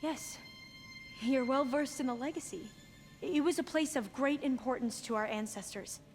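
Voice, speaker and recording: A woman acting as an earnest young scholar from a devout tribe in a game. Her voice is high, her wording formal and reverent, with some hesitation. Music swells behind her. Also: moderate pace, close to an everyday voice. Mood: earnest, guarded. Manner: formal, hesitant, solemn phrasing. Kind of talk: dramatic video game acting.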